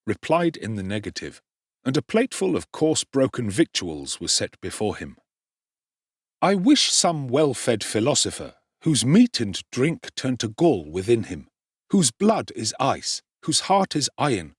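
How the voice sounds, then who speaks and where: A man narrates a classic novel with strong theatrical expression and a husky tone. His pitch swings very widely, and the recording is dry and close. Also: no room sound. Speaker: audiobook narrator. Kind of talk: dramatic audiobook narration.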